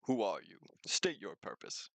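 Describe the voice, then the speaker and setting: A man's voice: on the low side, sing-song, unhurried, creaky, fairly bright tone, heavily acted. A man voicing a stern, businesslike android administrator in a visual novel read-through. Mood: formal, brusque. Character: stern, formal male android facility administrator.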